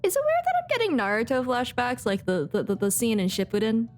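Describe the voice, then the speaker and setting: A woman's voice: on the high side, very animated, a little fast, soft background music. A woman streaming a game, giving casual live reactions in hesitant, musing asides.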